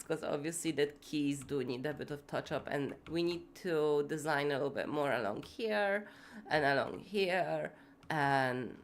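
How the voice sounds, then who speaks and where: Sick, congested woman streaming slowly. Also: low voice, slight background noise. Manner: rambling, many fillers, swears casually. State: sick with fever, congested, voice going. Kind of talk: casual live-stream chat while building.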